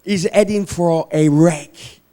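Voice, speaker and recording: A man, a native English speaker, preaching in short phrases with pauses for an interpreter and repeating them for emphasis. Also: a little high, dark tone. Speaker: visiting preacher.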